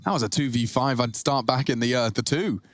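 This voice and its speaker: A man with a British accent giving lively play-by-play commentary on an esports match. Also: medium pitch, a little fast.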